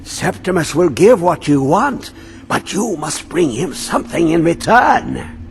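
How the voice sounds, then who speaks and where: A man voicing a mad, obsessed old male scholar who talks in riddles and swings between high and low. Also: sing-song, unhurried, creaky, exaggerated voice. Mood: cryptic. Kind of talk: video game voice acting. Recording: game audio with faint music.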